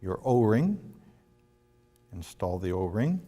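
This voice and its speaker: A man giving a step-by-step instructional demonstration in a deliberate, phrase-by-phrase way with firm instructions. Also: lowish voice, big pitch swings, mellow. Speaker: product demonstrator. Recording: long reverberant room echo.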